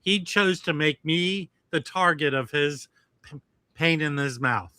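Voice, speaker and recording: A man co-hosting a casual livestream chat, halting and insistent, often restarting. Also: a little high, sharp tone, no echo. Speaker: livestream co-host.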